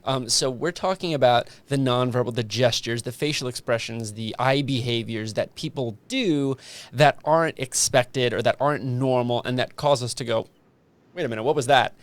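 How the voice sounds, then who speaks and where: Guy podcast host, chatty and informal, mid pitch voice, really dry recording. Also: moderate pace, no room sound. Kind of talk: casual conversational interview.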